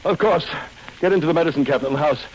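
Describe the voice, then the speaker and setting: A male actor plays a flustered, older doctor in 1940s radio style. His voice is somewhat high and rapid. The vintage recording carries faint noise. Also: close to an everyday voice, rough recording. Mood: urgent, commanding. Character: flustered older man, a doctor lost to amnesia.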